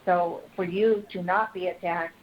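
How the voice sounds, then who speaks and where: A woman calling in to a conference call, speaking earnestly and politely in a measured, careful way. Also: fairly low pitch, fairly even intonation. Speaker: caller. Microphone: telephone. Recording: dull, noisy phone conference line.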